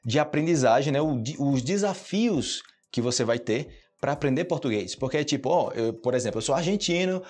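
A man's voice: medium pitch, animated, fairly quick, few pauses, a little mellow. A Brazilian man hosting a podcast that teaches Portuguese, talking casually and checking in with his listeners often. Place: indoors, hot room.

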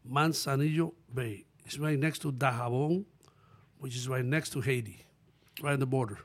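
An older man from the Dominican Republic, a musician answering interview questions in a relaxed, plain-spoken way with short answers. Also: mid-range voice, moderate pace. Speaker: guest musician. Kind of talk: casual interview.

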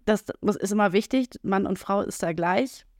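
A woman's voice: mid-range voice, normal speed, dry recording, studio quality. Frank, earthy woman podcaster. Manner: frank and earthy, many "ähm" fillers, acts out quoted lines. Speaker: podcast co-host. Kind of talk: casual podcast conversation.

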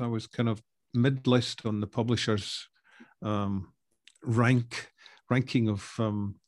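An older man with a Scottish accent, an author answering interview questions over a video call, pausing often with ums and restarts. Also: medium pitch, no room sound, clean studio sound. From Scotland. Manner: hesitant, many ums and restarts. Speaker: author guest.

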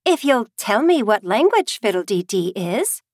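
A woman's voice: medium pitch, big pitch swings, unhurried, a little mellow, dry recording, clean studio sound, pitched above the performer's own voice. A woman voicing an earnest, decided little girl in a storybook reading. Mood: grave, then triumphant.

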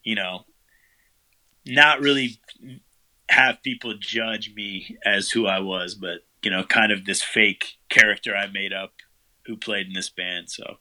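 Bright-voiced man, rambling answers. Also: on the low side, a little slow, low-quality recording. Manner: relaxed, rambling, many fillers. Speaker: band vocalist, guest. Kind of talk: casual interview answers.

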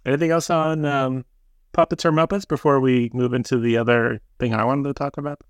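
A man's voice: mid-range voice, steady tone, a little fast, no room sound, studio quality. A man co-hosting a podcast, telling stories in a rambling way and correcting himself as he goes. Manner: rambling, self-correcting storyteller. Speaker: podcast co-host. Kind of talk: casual chat.

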